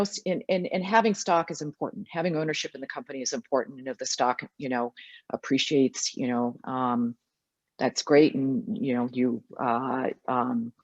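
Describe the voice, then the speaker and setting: A woman's voice: a bass voice, dry recording. A middle-aged woman speaking frankly as a panelist and board director, with many fillers. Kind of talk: panel discussion. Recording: dry, dull lo-fi audio.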